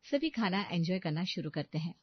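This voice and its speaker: A woman's voice: fairly low pitch, sing-song, normal speed, husky, no room sound, lo-fi sound. A woman narrating a scripted family story as a storyteller.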